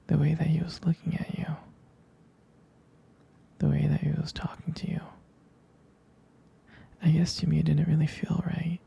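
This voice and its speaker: A man playing a devoted, jealous, protective boyfriend in ASMR roleplay, speaking in a breathy, husky whisper with a hesitant, intimate, tender delivery. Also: fairly high pitch, dark tone, full character voice. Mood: tender, uneasy.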